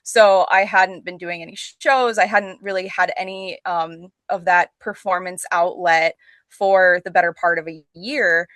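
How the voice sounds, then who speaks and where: A woman's voice: lowish voice, fairly even intonation, a little slow, a bit bright, no echo. A woman talking casually as a podcast guest, an actress with an enthusiastic, gushing manner. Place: remote video call.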